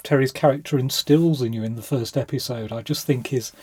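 A man's voice: mid-range voice, fairly quick, darkish tone, husky, no echo. A man chatting enthusiastically as a guest on a podcast. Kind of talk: casual podcast chat.

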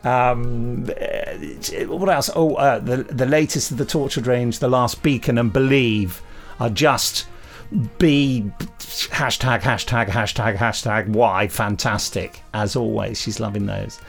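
A man's voice: medium pitch, steady tone, slow pace, creaky, soft background music. A man hosting a podcast, chatting casually in a hesitant, rambling, self-correcting way. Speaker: podcast host; audio drama writer and director. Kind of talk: casual chat.

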